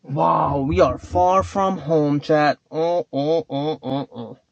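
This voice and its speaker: A man speaking English as a non-native tongue, rambling and philosophizing with plenty of swearing as he addresses his chat. His voice sits high, and the sound is dry and dull, as if captured on a lo-fi mic. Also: fairly even intonation, slow, creaky, dry recording. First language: not English. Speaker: vlogger. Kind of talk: rambling casual vlog talk.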